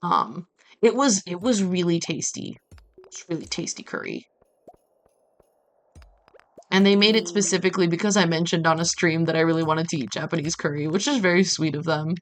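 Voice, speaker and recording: A woman's voice: lowish voice, moderate pace, pauses often. Chatty woman streaming a game. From Brazil. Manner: animated storytelling with acted-out quotes and playful asides. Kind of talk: casual chat while playing a game on a livestream.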